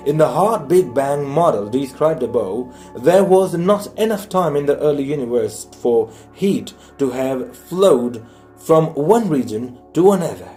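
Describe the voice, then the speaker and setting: A man reading a science audiobook aloud in a measured, explanatory way. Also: on the high side, animated, mellow, faint music behind. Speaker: audiobook reader. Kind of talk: scripted narration, reading a science book aloud.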